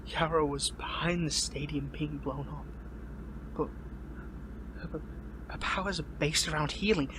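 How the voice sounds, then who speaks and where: A man acts out an earnest young male superhero held captive, conflicted and lovesick. His voice sits fairly high for a man and comes in halting, emotional bursts that break off and repeat. It is an amateur audio drama with clear background noise behind the voice. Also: steady tone, close to an everyday voice. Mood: disbelieving.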